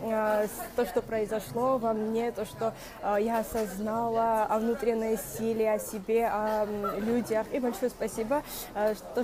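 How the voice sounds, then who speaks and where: Young woman coach, halting accented Russian. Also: on the high side. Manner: halting, with many fillers and restarts, greeting viewers by name; speaks Russian as a second language with a noticeable accent. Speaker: inner strength coach hosting a live stream. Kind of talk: live-stream talk to viewers. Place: small room indoors. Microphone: wired earphone mic. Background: music playing.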